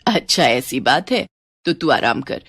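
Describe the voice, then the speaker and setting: Lady doing a kindly middle aged mom in a story, lower voice, gentle n coaxing. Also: sing-song, a little slow, breathy edge, no echo, exaggerated voice. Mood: welcoming. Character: kindly middle-aged Indian mother and mother-in-law. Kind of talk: Hindi family-story dramatization.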